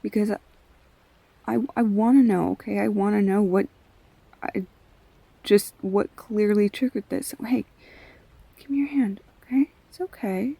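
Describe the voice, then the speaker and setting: Comforting girlfriend, played by a woman, intimate audio drama: unhurried, breathy, soft-spoken, halting and reassuring. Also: medium pitch, dry recording. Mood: gentle, soothing. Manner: soft, halting, soothing, often near whispering. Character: tender, reassuring girlfriend comforting an upset partner.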